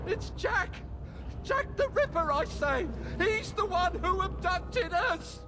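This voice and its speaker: An actor playing a terrified Victorian captive in a video game, crying out frantically. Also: male voice, very high voice, expressive, slow, full character voice. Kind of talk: video game character acting. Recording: loud music over the voice.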